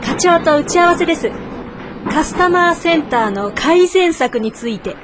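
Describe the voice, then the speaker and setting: Bossy scolding office lady, high voice, radio drama, noisy recording. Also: animated, normal speed. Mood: stern, businesslike. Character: brisk, scolding, conscientious female office colleague. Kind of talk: naturalistic radio drama acting.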